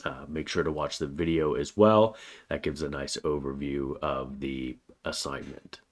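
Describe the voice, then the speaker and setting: A man with a cold, a college writing instructor giving a recorded weekly course overview in a plain, steady way with frequent "uh" fillers. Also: a bass voice, measured pace. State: has a cold, slightly congested.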